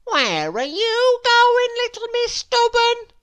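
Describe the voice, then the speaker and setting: A woman's voice: very high-pitched, huge pitch swings, slow pace, fairly bright tone, voice pushed up, full character voice. A woman voicing a nosy male storybook busybody with an inquisitive, exaggerated way of talking. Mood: prying.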